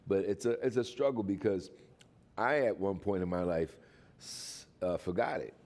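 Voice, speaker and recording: Brief: middle-aged man from Cuba, deep low voice, lively storytelling panelist with a Cuban accent. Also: moderate pace. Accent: Boston Cuban. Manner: animated storyteller, playful. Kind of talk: casual panel chat.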